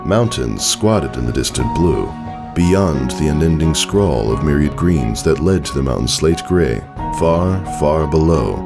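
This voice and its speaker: Very deep, gravelly male storyteller. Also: lively intonation, unhurried. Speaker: fan fiction narrator. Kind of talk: scripted story narration. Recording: music under the voice. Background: background music.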